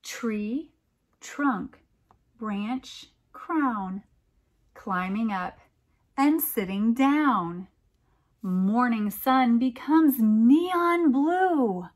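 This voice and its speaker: A middle-aged American woman reads a picture book aloud, adding expressive, wondering comments for young listeners. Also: a little high, extremely slow. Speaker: storytime reader and teacher.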